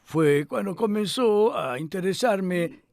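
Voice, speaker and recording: An older man being interviewed, speaking in an unhurried, reflective way with hesitant fillers. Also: sits high, expressive, vocal fry, darkish tone.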